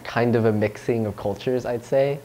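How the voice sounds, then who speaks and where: A man's voice: medium pitch, steady tone, normal speed, warm tone, a little hiss or hum. A young man from California, a student thinking aloud casually, with "like" and "you know". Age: young adult. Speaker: student interviewee. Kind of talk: unscripted reflective answers.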